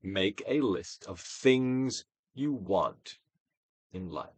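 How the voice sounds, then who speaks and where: A man giving a dramatic, theatrical reading of a self-help book, emphatic, with swells and hushed drops. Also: on the low side, animated, extremely slow, no room sound. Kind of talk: theatrical dramatic reading of scripted instructional text.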